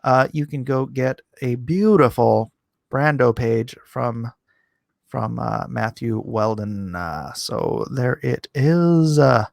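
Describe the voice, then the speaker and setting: A man's voice: medium pitch, takes it slowly, creaky, no echo, studio quality. A man hosting a casual live online art auction, chatting and reading out comments in a playful, hammy way. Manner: playful, theatrical, hammy countdowns.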